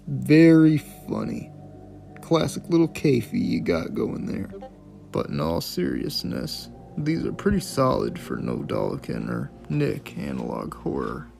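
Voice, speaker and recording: A man's voice: low voice, huge pitch swings, a little slow, vocal fry, a little mellow, soft background music. A man hosting a casual video essay on horror clips, speaking in an informal, opinionated way with plenty of swearing. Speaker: host of a video series reviewing analog horror.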